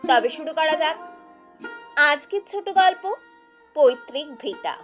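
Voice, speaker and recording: A high-voiced woman narrates a short story. Her reading swings widely in pitch, and music plays behind her. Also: lively intonation, slow pace. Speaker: storyteller reading for own channel. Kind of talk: scripted audiobook narration of a literary short story. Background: background music.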